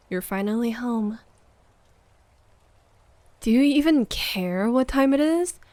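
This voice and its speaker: A woman's voice: fairly high pitch, lively intonation, slow pace, no room sound. Woman acting as a hurt, needy girlfriend, close and dry. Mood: bitter, hurt. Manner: emotional, swinging between sharp reproach and hushed, breathy murmurs. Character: hurt, needy, accusing live-in girlfriend waiting up late. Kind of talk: intimate audio roleplay monologue, dramatic acting.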